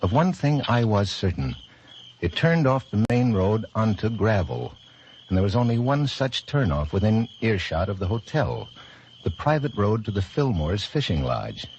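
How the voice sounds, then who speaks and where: An actor playing a blind detective captain, composed and courteous, with a measured, wry delivery in old-time radio drama style. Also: male voice, fairly low pitch, sing-song, darkish tone, slight background noise. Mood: brooding, wry. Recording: old radio broadcast with music behind, muffled.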